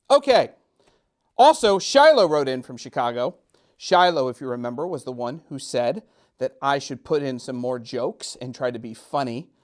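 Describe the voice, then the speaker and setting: A middle-aged man hosting a children's storytime in a casual, playful and self-deprecating way, chatting and joking straight to young listeners. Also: a little high. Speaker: storytime host.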